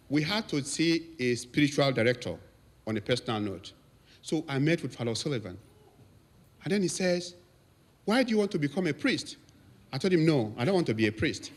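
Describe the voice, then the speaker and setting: A man in his fifties with a Nigerian accent, a priest giving a thank-you speech and telling stories with lively quoted voices. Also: medium pitch. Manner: storytelling, laughs often, lively quotes.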